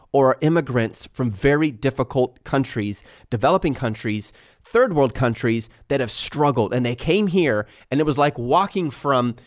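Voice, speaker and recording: A middle-aged man co-hosting a casual motivational talk, emphatic and animated as he tells stories. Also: mid-range voice. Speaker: podcast co-host, business owner.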